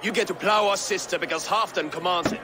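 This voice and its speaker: An actor playing a crude, belligerent Danish warrior in a video game, with a scornful, swaggering manner. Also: male voice, sits high, background noise, lightly acted. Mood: sneering. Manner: scornful, swaggering, drawn-out insults. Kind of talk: video game cutscene acting. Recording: music and crowd behind.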